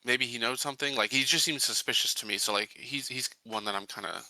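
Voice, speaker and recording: A man's voice: medium pitch, fast, sharp tone, no room sound, low-quality recording. A man playing a tabletop roleplaying game, casually thinking aloud with many hesitations as he lays out his character's actions.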